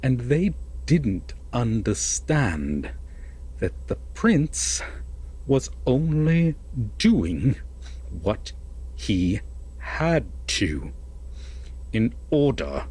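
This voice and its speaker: A man playing an obsessive, possessive lover in an intimate roleplay audio drama, speaking deliberately and coaxingly with dramatic pauses and a husky voice. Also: medium pitch, big pitch swings, darkish tone, heavily acted, poor audio. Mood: ominous storytelling. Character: possessive, obsessive lover telling a veiled fairytale.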